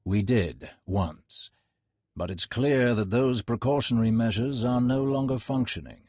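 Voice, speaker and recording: An actor playing a suave, courteous, scheming imperial agent in a video game, speaking in a smooth-mannered, formal, theatrical way. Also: male voice, medium pitch, a little slow, darkish tone, no echo, studio quality, natural voice. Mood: measured briefing.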